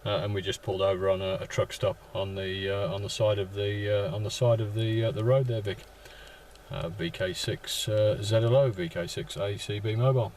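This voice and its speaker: Male radio ham, flat low delivery, halting and repetitive, chatting from a parked vehicle with some background noise. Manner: halting, repeats words as they go. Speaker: amateur radio operator. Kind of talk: casual radio contact chat with call signs.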